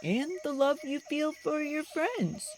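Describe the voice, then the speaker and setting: A woman voicing a calm, friendly male ape neighbor in a storybook, thoughtful and drawn-out. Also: sits high, slight background noise, voice pushed up. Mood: reassuring.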